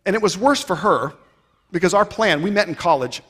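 Man, 30s, keynote speaker; higher voice, emphatic rhetorical delivery, long hall reverb. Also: animated, brisk. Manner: emphatic, rhetorical, swinging between loud and quiet. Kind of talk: conference keynote speech. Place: stage of a large conference hall. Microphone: headset mic.

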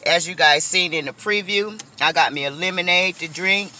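Middle-aged woman food vlogger, bright and lively, talks straight to viewers from her car. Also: on the low side, moderate pace, a little hiss or hum. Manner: chatty, animated, addresses viewers as y'all. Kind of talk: casual eating vlog. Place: inside a car.

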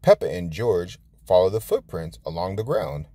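A man reading a children's storybook aloud as a storytime host, expressively and with drawn-out words. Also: low-pitched, sing-song, warm tone, husky, dry recording. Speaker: storytime channel host and reader.

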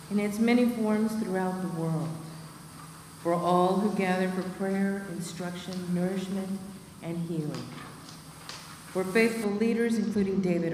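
Middle-aged woman, lay reader, low-ish voice, measured liturgical reading, distant mic in a reverberant church. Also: a little hiss or hum. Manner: steady measured reading of names. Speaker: lay reader leading prayers. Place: church sanctuary at a lectern. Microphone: lectern microphone. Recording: echoey church from far off.

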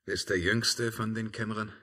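A tormented, husky-voiced emperor. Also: male voice, on the low side, little pitch movement, normal speed. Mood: brooding. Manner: declamatory, swinging from hushed murmurs to fierce cries. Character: tormented, haunted emperor, commanding yet brooding. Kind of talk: 1950s German radio drama, theatrical verse acting. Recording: 1950s radio drama recording.

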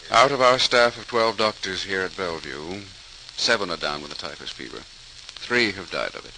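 An actor playing a grave, authoritative senior hospital doctor in an old-time radio drama, formal and commanding. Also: male voice, low-pitched. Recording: old radio recording, faint hiss.

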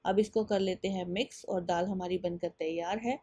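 A woman's voice: lowish voice, fairly even intonation, normal speed, warm tone, dry recording. A woman giving a patient step-by-step voice-over for a home cooking video, speaking directly to her viewers. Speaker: cooking channel host.

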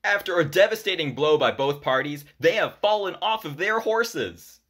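A man playing an excitable, jovial sports commentator in a comedic radio play, with an animated announcer delivery. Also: on the high side, moderate pace. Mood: wrapping up.

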